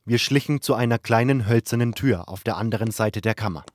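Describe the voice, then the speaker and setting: Low-voiced man narrating over music. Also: fairly quick, no room sound. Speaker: audiobook narrator. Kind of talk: scripted fantasy story narration in first person.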